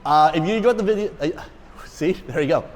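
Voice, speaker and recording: A man's voice: a little high, brisk, in a large hall. A man, a food vlogger chatting casually and jokingly in a restaurant, rambling and repeating himself. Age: 40s. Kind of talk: casual chat. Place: busy restaurant.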